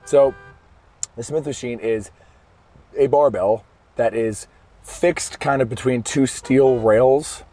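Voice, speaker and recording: Hoarse man talking from his car. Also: mid-range voice, slow, pauses often, dark tone, dry recording, faint music behind. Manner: conversational, explaining step by step with frequent self-corrections. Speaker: fitness podcast host. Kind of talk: casual solo podcast monologue. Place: inside a car in traffic. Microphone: podcast microphone.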